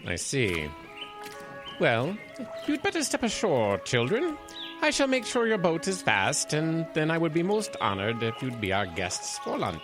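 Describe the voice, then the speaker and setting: A man's voice: a little high, huge pitch swings, unhurried, over music. Pompous, swooping old island king. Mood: unctuous politeness. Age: older. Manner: grandly formal, courteous yet menacing, theatrical. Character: pompous eccentric old man, self-crowned island king. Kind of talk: audio drama acting.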